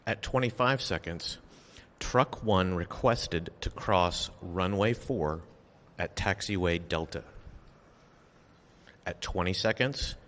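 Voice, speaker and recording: Low-voiced man in a reverberant hall. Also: a bit bright. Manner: measured, factual, deliberate. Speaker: official giving an investigation briefing. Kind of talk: formal prepared statement read at a press briefing. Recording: long reverberant echo of a hall.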